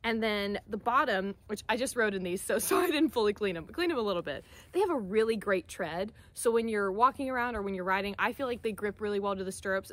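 A woman's voice: mid-range voice, a bit bright. A young American woman talking enthusiastically and emphatically, in the casual, chatty style of a vlogger reviewing products to camera. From Central Florida, United States. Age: young adult. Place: outdoors, by a wooden wall and open field. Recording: noisy outdoor camera audio.

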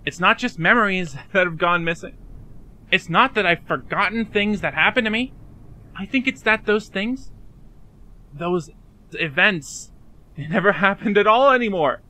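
A man playing a frightened, despairing young man in an emotional fan-dub drama, confiding haltingly and pleading. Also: high voice, animated, bright, forceful tone. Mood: agitated, scared.

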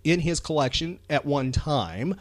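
A man's voice: on the high side, animated, unhurried, no echo. A man hosting a chatty pop culture podcast, talking with enthusiasm and emphasis, often repeating phrases for effect. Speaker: podcast host.

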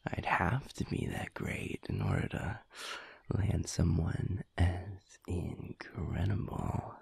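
Man playing a husky, whispering werewolf lover. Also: deep voice, big pitch swings, a little mellow, no echo, full character voice. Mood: teasing, affectionate. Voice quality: breathy, husky, gravelly whisper. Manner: teasing, chuckling, slow and murmured. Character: playful, cocky, doting male werewolf lover, pack beta. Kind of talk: intimate audio roleplay, close whispering.

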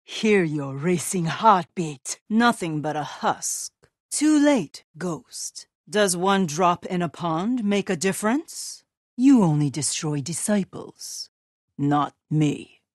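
A woman's voice: fairly low pitch, lively intonation, takes it slowly, dry recording, studio quality. An actress playing a vengeful demon witch and wronged mother in a video game, menacing and theatrical. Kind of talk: dramatic video game villain acting.

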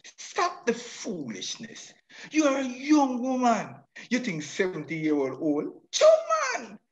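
Man acting an animated old Jamaican suitor. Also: high voice, slow pace, muffled recording, exaggerated voice. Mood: coaxing. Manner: animated, coaxing. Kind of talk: radio drama acting.

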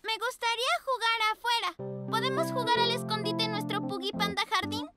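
Very high-pitched little girl puppy. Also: a little fast. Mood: playful. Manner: polite, pleading, excitable. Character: eager, sweet little girl pug puppy. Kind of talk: children's cartoon voice acting. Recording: music behind the voice.

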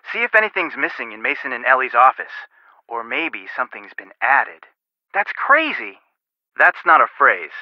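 An actor plays a sharp young male detective in a mystery game, offering helpful advice. His delivery is conversational and wry with lively intonation, in a dry, slightly dull recording. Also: mid-range voice, no echo, close to an everyday voice. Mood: advising, curious. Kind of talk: video game dialogue.

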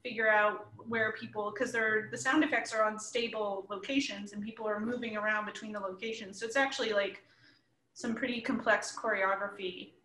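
A woman's voice: medium pitch, steady tone, fairly quick, sharp tone, reverberant, slight background noise, rough recording. A woman in her thirties chatting casually as an interviewed guest on a video call from a room at home. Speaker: interviewed comics creator. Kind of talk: casual panel discussion. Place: living room at home, indoors. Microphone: computer mic at a short distance, video call.

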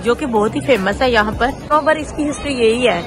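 A woman's voice: a little high, brisk. A woman chatting playfully with a friend while sightseeing in a busy square. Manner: playful, teasing. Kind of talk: casual chat while sightseeing. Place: busy city square outdoors. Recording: crowd and music behind.

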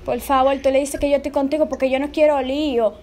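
A woman's voice: high-pitched, fairly even intonation, fast, a little mellow, slight background noise. A young woman on a casual phone call, sounding nervous and pleading. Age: young adult. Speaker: prank caller, vlogger. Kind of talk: casual phone chat, feigning nervous worry. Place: indoors in a bare-walled room.

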